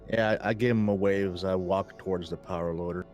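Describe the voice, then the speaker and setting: A man's voice: sits low, fairly even intonation, brisk, darkish tone, muffled recording, soft background music. A man speaking casually as a player in a tabletop roleplaying game.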